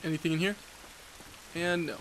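A man's voice: a little high, steady tone, moderate pace, a bit bright, slight background noise, rough recording. Congested young man rambling over gameplay. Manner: rambling, jokey, self-mocking. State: congested from allergies. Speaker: let's play host. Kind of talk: casual gameplay commentary.

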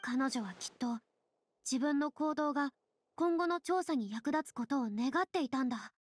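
An actress playing a solemn, thoughtful young woman in a video game, speaking in a measured, dramatic and slightly lofty way. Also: sits high, natural voice. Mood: pensive, investigating. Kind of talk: Japanese video game voice acting.

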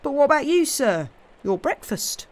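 A woman's voice: sits high, sing-song, moderate pace, pitched above the performer's own voice. Woman as a polite, lilting serving man. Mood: concerned. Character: polite, cheery serving man taking his leave.

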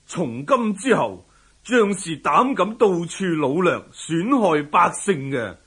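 A man's voice: sits high, big pitch swings, takes it slowly, a bit bright, slightly rough, full character voice. A man with a Hong Kong Cantonese accent playing a commanding, hearty Mongol warlord in a radio drama. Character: exultant Mongol warlord, commanding king of the army.

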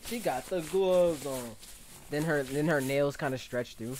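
A young adult man giving casual, jokey, slangy reaction commentary in an excitable way, often repeating himself. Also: a little high, unhurried, slight background noise. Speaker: reaction video host. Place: small bedroom indoors. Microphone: desk microphone with pop filter.

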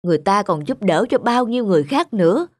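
A woman's voice: medium pitch, expressive, quick pace, dry recording, clean studio sound, natural voice. A woman voicing a rich landlord's sensible, disapproving wife in a cartoon folk tale, chiding and reasoning.

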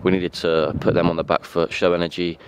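A young man, a footballer giving an earnest, halting post-match interview at a pitch outdoors at night, speaking into a handheld mic. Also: little pitch movement, noisy background. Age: young adult.

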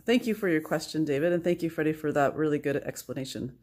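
A woman's voice: deep voice, fast, a little mellow. A woman, a marketing events manager, reading a viewer's question and asking short follow-up questions from behind the camera. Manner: reads the viewer's question, then asks short follow-ups. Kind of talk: casual interviewing. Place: dining room, behind the camera.

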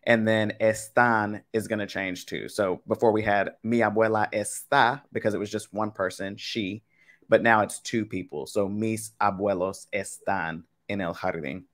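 A man's voice: fairly low pitch, fairly even intonation, normal speed, a bit bright. A young man teaching a live online Spanish lesson, chatty and casual, talking straight to his viewers from a home webcam. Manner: casual, chatty, talks to chat with y'all. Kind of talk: live-streamed game lesson.

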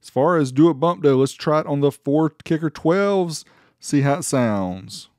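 A man's voice: fairly high pitch, expressive, measured pace, darkish tone, no echo, clean studio sound. A man giving a casual, informal product review voice-over as the host, with a folksy way of talking. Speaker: host reviewing car audio amplifiers.

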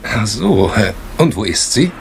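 An actor playing an evasive, nervous young bank clerk who stammers and hesitates, in a German radio crime drama. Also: male voice, on the low side, very animated, a little slow, creaky, noisy background, exaggerated voice.

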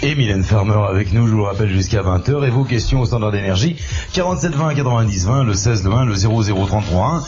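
A man hosting a live radio phone-in, lively and jokey, taking listeners' calls. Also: lowish voice, muffled recording, a little hiss or hum. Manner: lively, jokey, quick to laugh. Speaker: radio host. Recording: 1980s FM radio cassette, music under.

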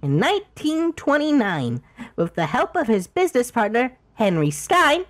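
A woman's voice: fairly high pitch, big pitch swings, normal speed. A woman voicing a feisty, cheeky cartoon ink devil in exclamatory, muttering game commentary. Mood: suspicious, curious. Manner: exclamatory, muttering, repeats words. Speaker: gameplay host.

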